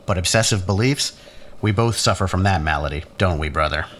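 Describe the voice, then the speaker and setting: A man's voice: low voice, fairly quick, fairly bright tone. A man acting in a science fiction audio drama as a bitter, sardonic younger-brother scientist, with mocking, theatrical, erudite delivery. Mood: mocking, accusing. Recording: faint noise and room ambience.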